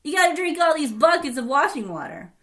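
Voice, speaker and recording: A woman's voice: high voice, very animated, fairly quick, fairly bright tone, exaggerated voice. A woman storyteller voicing a sly, bossy man who washes horses.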